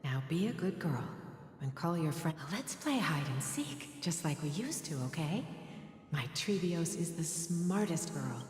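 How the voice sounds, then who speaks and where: A low, tender mother's voice for a video game, soft and soothing as if to her small daughter. It rings with long reverb, music faint behind it. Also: expressive, unhurried. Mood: tender, playful. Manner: tender, soothing, softly spoken. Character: gentle, doting mother telling tales to her daughter.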